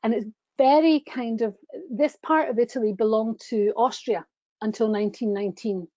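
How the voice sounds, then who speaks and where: A woman's voice: on the high side, normal speed, dark tone, dry recording. A middle-aged woman enthusiastically presenting travel slides, chatty and gushing, speaking over a webcam on a video call. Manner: gushing, chatty, with frequent restarts. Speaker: tour company presenter. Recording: dull lo-fi video call audio.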